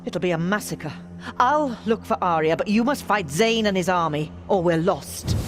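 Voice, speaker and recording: Serious mother leader type, solemn epic fantasy game delivery, music under. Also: on the low side. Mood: distraught. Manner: solemn, dramatic. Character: grave, anxious female leader and mother. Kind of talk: fantasy video game cutscene acting.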